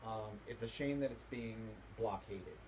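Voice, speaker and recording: A man's voice: fairly low pitch, unhurried. A man conducting an informal interview, speaking in an opinionated, emphatic way and repeating phrases for effect. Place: inside a bookstore. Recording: faint background noise.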